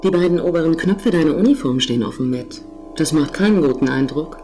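Casting: male actor as precise, polite machine assistant, highish pitch, music underneath, sci-fi audio drama. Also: animated, brisk, darkish tone. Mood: politely correcting. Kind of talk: science fiction radio play acting.

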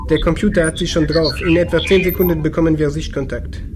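A man playing a spaceship pilot in a sci-fi radio drama, with a fairly high voice for a man. He reports in exact, technical terms and stays factual. Clear ambient noise surrounds him. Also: steady tone, fast, natural voice. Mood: focused, alert. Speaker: voice actor in a sci-fi radio play.

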